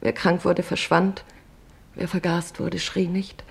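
A performer playing a weary camp survivor with a gravelly, husky voice, giving terse, bleak testimony in a radio drama. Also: medium pitch, little pitch movement, takes it slowly, heavily acted. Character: weary camp survivor recalling hunger and death.

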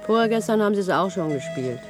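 An actress playing a stolid, contented underground worker's wife in a dystopian 1970s German radio play, dismissive and theatrical. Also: female voice, mid-range voice, expressive, brisk, over music, natural voice. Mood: grumbling.

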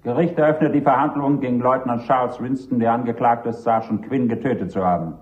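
A man's voice: medium pitch, fast, close to an everyday voice. A man playing a stern military judge in a German radio play, formally and officially addressing the court. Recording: tinny, roomy, echoing courtroom sound.

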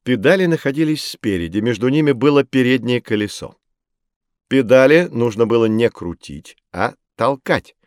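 A man playing a patient, knowledgeable teacher who explains with expressive storytelling in a children's educational audio drama. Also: mid-range voice, normal speed, no room sound, clean studio sound, natural voice. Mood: patient, explaining.

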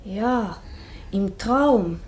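An actress playing an attentive maid in a radio play, earnest and deliberate as she addresses her mistress. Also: mid-range voice, animated, a little mellow. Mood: puzzled, attentive. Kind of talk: German radio play acting. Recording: roomy, reverberant studio sound.

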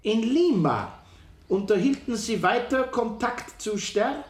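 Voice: medium pitch, very animated. Formal courtroom questioner in an echoey room. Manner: formal, deliberate. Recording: echoey room with music behind.

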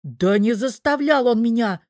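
A woman's voice: fairly low pitch, expressive, normal speed, no echo, studio quality, natural voice. A woman voicing a stubborn, exasperated young man in love, slangy and defiant, in a story reading. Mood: furious.

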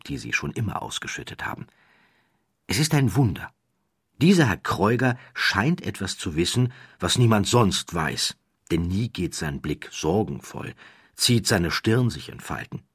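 A man narrating a nonfiction audiobook in a measured, dramatic storytelling manner, recorded close in a studio. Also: sits low, slightly rough, dry recording.

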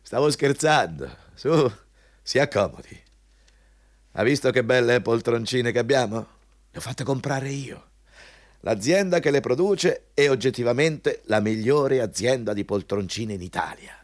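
Man acting self-important male boss, overbearing, hectoring, highly animated intonation, radio drama. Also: measured pace, natural voice. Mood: pompous, needling. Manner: pompous, hectoring, switching between scolding and ingratiating.

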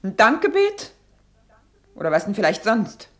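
Anxious despairing mom in a german radio play, mid voice, halting and agitated. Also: female voice, mid-range voice, huge pitch swings, fairly bright tone. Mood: bitter, urgent. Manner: halting, agitated, breaking into whispers. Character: distraught middle-class mother, anxious, reproachful, despairing.

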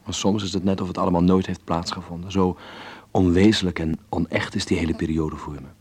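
A man acting an earnest young man who voices his letter home in a radio play, measured and reflective. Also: sits low, steady tone, darkish tone, breathy edge. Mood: pensive. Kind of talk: voiced letter narration in Dutch radio drama.

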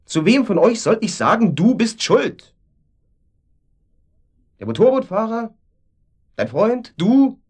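An actor playing a domineering, controlling man in a radio drama, pressing with questions and swinging between sharp and soothing. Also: sits high, very animated, slow, long pauses, breathy edge, exaggerated voice. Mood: agitated, challenging. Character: domineering, controlling man, cynical and coaxing, hiding guilt. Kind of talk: naturalistic radio drama acting. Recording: studio radio play.

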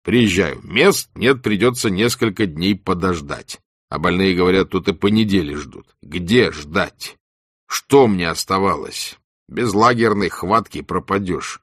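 A man voicing a gruff, wry, ailing ex-camp exile who tells things in animated colloquial speech. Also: deep voice, a little slow, dry recording, clean studio sound. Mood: rueful, animated.

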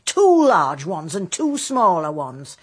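An actress playing a deferential, chatty country cook in a radio drama. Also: female voice, lowish voice, sing-song, takes it slowly, breathy edge, exaggerated voice. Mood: matter-of-fact. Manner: gossipy, respectful.

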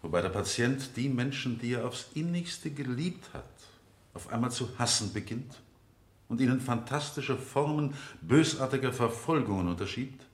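An actor playing a relentless, insinuating cross-examining lawyer in a radio play, with formal, pointed, sardonic questioning. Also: male voice, medium pitch, animated, moderate pace, close to an everyday voice. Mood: suavely insinuating. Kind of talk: 1970 German radio play acting. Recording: echoey vintage radio studio.

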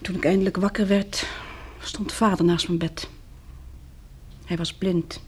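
An actress playing a shaken but plucky, well-off young woman, a survivor of disaster, in a Dutch radio drama, with a slightly breathy voice. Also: on the low side, level tone, a little slow. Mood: weary, confiding.

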